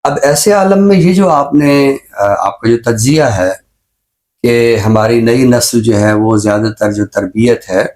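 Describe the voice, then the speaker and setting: Pakistani man explaining in measured phrases. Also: medium pitch, a little mellow. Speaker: expert guest on a literary and social talk show. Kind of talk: reflective discussion.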